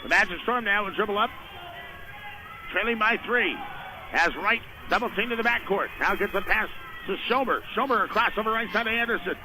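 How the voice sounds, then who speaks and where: A man's voice: high-pitched, fairly quick, over music. An American man doing live radio play-by-play of a basketball game in clipped, running phrases. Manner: clipped, running description of the action. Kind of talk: live radio sports commentary. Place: indoor basketball gym during a game. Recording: noisy live radio gym broadcast.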